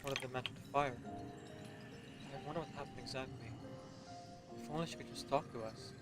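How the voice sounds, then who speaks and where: A man's voice: medium pitch, steady tone, fairly quick. A man commenting on a video game playthrough in a musing, conversational way. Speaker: game streamer. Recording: loud game music behind voice. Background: loud game music.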